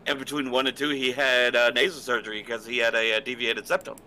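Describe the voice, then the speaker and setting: A man chatting casually on a podcast in an animated, rambling way. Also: mid-range voice, quick pace, a little hiss or hum. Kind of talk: casual chat.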